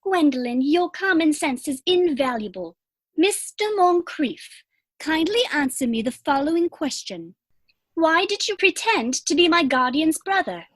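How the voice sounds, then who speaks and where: A woman's voice: high voice, big pitch swings, a little slow, a bit bright, no echo, full character voice. A woman playing a sweet, romantic young girl of good family in a period stage comedy, with a prim, eager manner. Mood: earnest.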